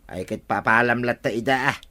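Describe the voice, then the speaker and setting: A man's voice: medium pitch, fairly quick, heavy background noise, natural voice. A man with an Ilocano accent playing a boastful, scheming village husband in a radio comedy drama.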